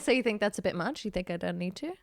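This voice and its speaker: A woman's voice: medium pitch, expressive, fast talker, gravelly, dry recording, studio quality. A woman hosting a podcast in casual, comedic chat with playful, informal banter. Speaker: podcast host.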